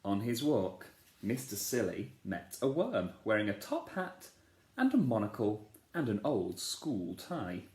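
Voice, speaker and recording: A man, a teacher, reading a children's storybook aloud in a playful, expressive way, asking the listeners questions. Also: fairly low pitch, measured pace. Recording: echoey room.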